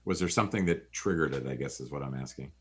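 A man interviewing a guest, asking measured questions and searching for his words. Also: rumbling low, fairly bright tone, husky.

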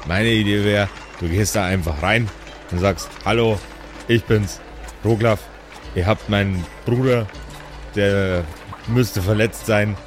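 A man's voice: lowish voice, takes it slowly, faint music behind. A man voicing a stout, scruffy, simple-minded and dubious helper in an improvised tabletop audio drama, folksy, repetitive and pleased with himself. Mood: self-satisfied, helpful. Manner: repetitive, folksy, self-satisfied.

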